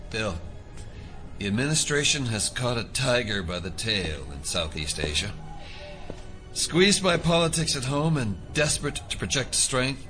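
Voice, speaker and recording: Audio drama conspirator: assured and confiding, lowish voice, clear score underneath. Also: male voice, poor audio. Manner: measured, confiding, knowing. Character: assured, secretive male government conspirator.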